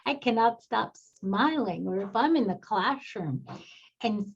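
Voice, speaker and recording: A woman from El Salvador speaks on a panel with earnest, emphatic conviction. Her voice is husky and slightly breathy. The audio is dull and lo-fi, like an online session. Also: a little high, expressive, unhurried. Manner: warm, earnest, emphatic advice. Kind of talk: panel talk on a video call.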